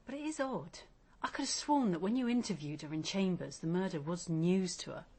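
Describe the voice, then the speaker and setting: An actress playing a keen junior police detective in a radio crime drama, direct and questioning. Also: female voice, on the low side, lively intonation, brisk, darkish tone. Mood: brisk, puzzled. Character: keen, sharp female police detective, respectful junior officer.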